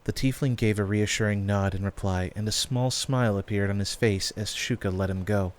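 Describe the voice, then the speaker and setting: A man's voice: fairly low pitch, steady tone, brisk. A man working as narrator, reading a scripted fantasy story. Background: background music.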